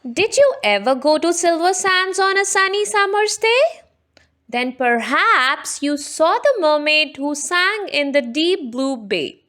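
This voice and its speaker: Woman, children's story reader, high pitch, slow pace, rhyming narration. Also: animated, a bit bright. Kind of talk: picture book read-aloud, rhyming narration.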